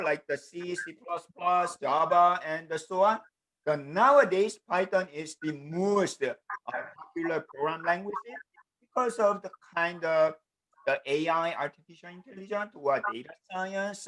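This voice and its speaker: Korean man teaching in halting English. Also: on the high side, a bit bright, rough recording. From South Korea. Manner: halting, with frequent fillers and repeated "the". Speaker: programming teacher. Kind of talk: online class lecture over video call. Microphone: computer mic on a video call.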